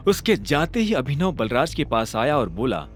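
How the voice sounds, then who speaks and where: A man's voice: mid-range voice, sing-song, moderate pace. A man narrating an audio drama as scripted, dramatic storytelling. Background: faint background music.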